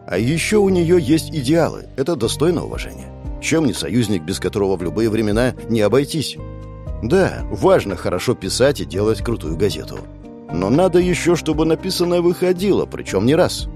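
A man reading a first-person novel aloud in an expressive, animated style. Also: mid-range voice, brisk, soft background music. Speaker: audiobook narrator. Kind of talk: first-person audiobook narration.

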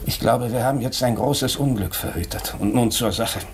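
Male actor as probing radio-drama doctor: earnest, insistent, medium-pitched, faint noise on the recording. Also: natural voice. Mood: grave, calmer. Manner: urgent, insistent, deliberate rhetorical questioning. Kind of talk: German radio drama acting.

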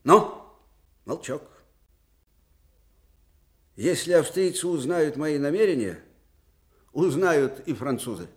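An actor playing a shrewd, fervent elderly military commander in a radio drama, with emphatic, theatrical delivery that shifts abruptly. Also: male voice, fairly high pitch, expressive, slow, pauses often. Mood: grave, wary. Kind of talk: Soviet radio drama acting.

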